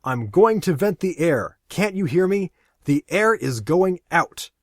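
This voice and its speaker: A man voicing a desperate young man who shouts and pleads in a raised, strained voice. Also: high-pitched, big pitch swings, unhurried, dry recording, studio quality, pitched above the performer's own voice, heavily acted. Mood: frantic.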